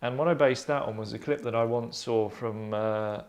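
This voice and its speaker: Man, mid-pitched, narrating and chatting. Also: normal speed, darkish tone. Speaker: writer and narrator at table read. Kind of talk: script narration and casual discussion. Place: small living room, seated on sofas.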